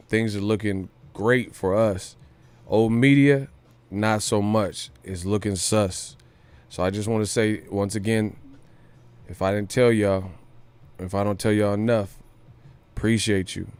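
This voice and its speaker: A man with a low, smooth voice commentates on a live boxing stream. His delivery is casual and hyped, full of slang and repeated phrases. The sound is dry and close. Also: a little mellow, dry recording. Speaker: boxing news livestream host.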